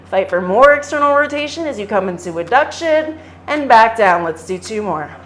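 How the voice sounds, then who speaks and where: Slightly hoarse woman cueing a workout. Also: on the high side, moderate pace, slight background noise. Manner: calm step-by-step exercise cues. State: hoarse from singing at a concert. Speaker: fitness instructor.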